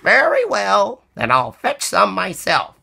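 A man plays a busy, fussy storybook hen, a female with a high voice. The delivery is bright and singsong, with exaggerated drawn-out calls that swoop up and down. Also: huge pitch swings, gravelly, breathy edge, exaggerated voice. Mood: resigned, determined.

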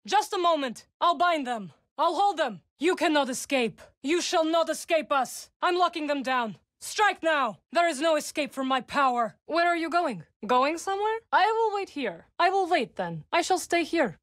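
A young witch warrior for a video game. Her voice is high, and she delivers short battle lines with proud, formal command and a dry edge of loyalty to her allies. The sound is close and dry, with no room around it. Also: fairly bright tone, dry recording. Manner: formal, clipped, commanding phrasing.

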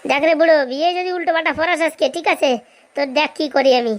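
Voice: high-pitched, fairly even intonation, quick pace, dry recording. A performer voicing bossy, hot-tempered cartoon villagers who scold and quarrel in colloquial speech. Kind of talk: comic cartoon dialogue.

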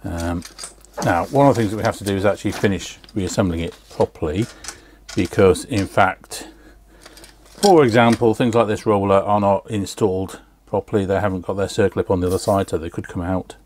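A man's voice: deep voice, steady tone, brisk, a little mellow, a little hiss or hum. A middle-aged man talking casually and methodically through a hands-on repair, step by step, at an indoor workbench. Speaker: repair video host. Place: indoor workshop bench.

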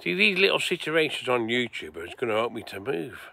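A man from England chats to his viewers in a loose, rambling, sweary way. His voice is gravelly with a bright, forceful edge. He streams outdoors at night by the seaside. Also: medium pitch, very animated, a little fast, no echo. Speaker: vlogger. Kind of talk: casual rambling livestream chat.

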